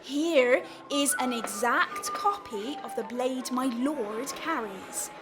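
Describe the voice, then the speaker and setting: An actress playing a scheming, deferential lady's maid in a period comedy radio play, deliberate and conspiratorial in her delivery. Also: high-pitched, over music. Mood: explaining.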